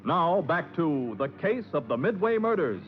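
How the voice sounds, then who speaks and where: A man's voice: a little high, sing-song, measured pace. A man announcing and narrating a crime serial in a polished, dramatic 1940s radio style. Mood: suspenseful, polished. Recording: tinny 1940s radio with music behind.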